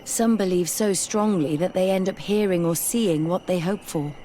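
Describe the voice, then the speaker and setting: A woman's voice: lowish voice, normal speed, warm tone, slight background noise, lightly acted, poor audio. A woman acting a curious, determined, skeptical scholar in a video game, speaking thoughtfully and articulately.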